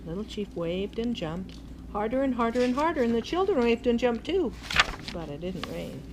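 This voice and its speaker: An older woman reading a children's bedtime story aloud as a grandmother, in an expressive way, on a noisy recording. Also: a little high, moderate pace.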